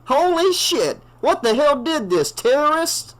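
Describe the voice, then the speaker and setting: A man voicing a brash small-town townie with loud outbursts. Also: high-pitched, little pitch movement, unhurried, clean tone, voice pushed up. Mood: shocked. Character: shocked, mouthy small-town male townie.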